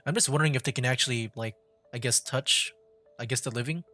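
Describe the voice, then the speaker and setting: A man's voice: medium pitch, fast talker. A man giving casual live commentary as he streams a video game. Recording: game music behind voice. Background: video game music.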